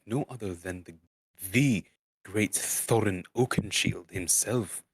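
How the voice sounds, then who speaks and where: A man reading a fantasy storybook aloud as a streamer, halting and often stumbling and repeating words. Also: sits low, dry recording. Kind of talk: amateur dramatic storybook narration.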